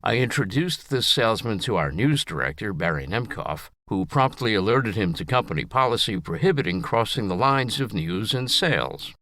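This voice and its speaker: A man's voice: lowish voice, sing-song, moderate pace, hardly any pauses, bright, forceful tone, dry recording. An older man reading his own personal story in a scripted narration style.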